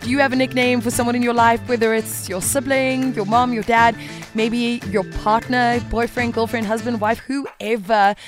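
A woman's voice: fairly high pitch, steady tone, normal speed. Bubbly woman hosting morning radio. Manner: bubbly, chatty. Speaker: radio host. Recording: radio broadcast with music bed.

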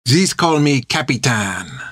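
A man voicing a coldly menacing old French prison-ship captain in an audiobook, with a French accent and slow, mock-courteous speech that savors words. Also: mid-range voice, very animated, fairly bright tone, dry recording, clean studio sound, heavily acted. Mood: smooth menace. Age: older.

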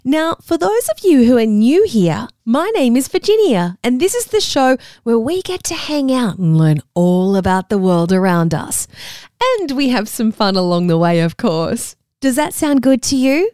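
Australian woman, kids' podcast host, bouncy and expressive pitch, bone-dry studio sound, speaks right to the children. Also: normal speed, no room sound. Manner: talks directly to young listeners, upbeat and encouraging. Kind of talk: scripted kids' show presenting.

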